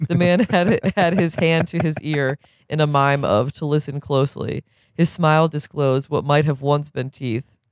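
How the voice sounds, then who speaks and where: A man's voice: a little high, steady tone, moderate pace, no room sound, clean studio sound. A man reading a literary novel aloud as narrator. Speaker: reader, co-host.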